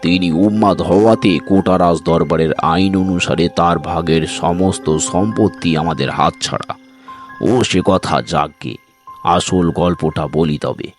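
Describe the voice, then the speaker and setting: A man playing a grave, dignified Rajput gentleman who speaks slowly and solemnly in an audio story. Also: low voice, fairly even intonation, faint music behind, voice pushed down. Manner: solemn, slow, deliberate.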